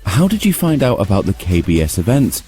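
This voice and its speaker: Deep, mellow radio host. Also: male voice, huge pitch swings, fairly quick. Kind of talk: reading interview questions. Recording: music bed underneath. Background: music.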